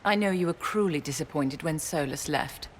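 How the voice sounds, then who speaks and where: A woman's voice: deep voice, moderate pace, slight background noise, close to an everyday voice. A woman playing a poised, aristocratic mage in a video game, elegant, gracious and a little haughty. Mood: gracious sympathy. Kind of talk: dramatic video game acting.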